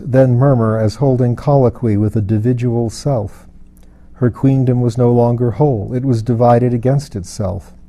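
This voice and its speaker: A man's voice: mid-range voice, dark tone. A man reading a literary fantasy novel aloud as scripted audiobook narration. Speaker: audiobook reader.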